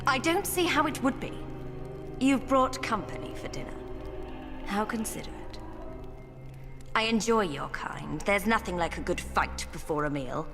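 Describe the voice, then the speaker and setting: An actress playing a sadistic, haughty vampire queen villain in a video game, speaking with mocking, deliberate menace. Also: mid-range voice, gravelly. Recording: long hall reverb with music behind.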